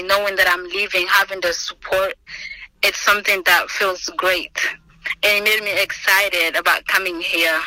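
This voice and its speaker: A woman's voice: medium pitch, bright, forceful tone, no room sound, low-quality recording. A woman, answering oral history questions earnestly over a remote call. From Senegal. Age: 20s. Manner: earnest, thoughtful, with frequent ums and restarts. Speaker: interviewee, student. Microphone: remote video call.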